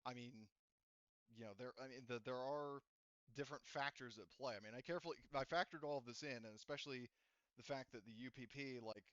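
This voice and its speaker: Halting man explaining, dry lo-fi sound. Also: mid-range voice, a bit bright, clear, resonant tone, dry recording. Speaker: game master. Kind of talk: casual unscripted discussion of a tabletop roleplaying game.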